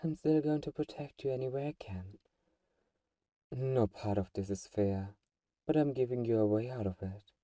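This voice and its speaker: A man playing a possessive vampire who is gentle, coaxing and condescendingly protective, in a soft-spoken, intimate ASMR roleplay. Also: medium pitch, normal speed, no room sound, distant mic, lightly acted. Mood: soothing, coaxing.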